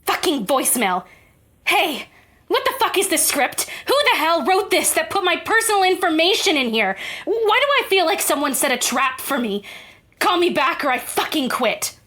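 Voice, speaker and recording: A distressed young actress in an audio drama. Her voice is very high. She is agitated and blunt, delivering her lines in a naturalistic, raw way. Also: sing-song, normal speed, pushed and bright, exaggerated voice. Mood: angry. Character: distressed, angry, foul-mouthed young actress.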